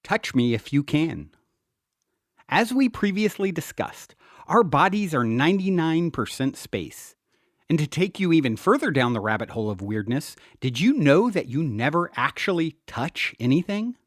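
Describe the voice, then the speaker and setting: A man's voice: fairly high pitch, big pitch swings, normal speed, dry recording, clean studio sound. A man reading his own book aloud as scripted narration, in an earnest, emphatic manner.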